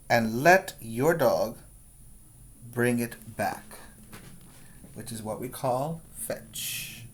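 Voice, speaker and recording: Man, preschool teacher, very slow delivery, mid-pitched voice, deliberate instructional read-aloud for young children. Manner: deliberate, instructional phrasing with repeated questions. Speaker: preschool teacher reading a picture book aloud.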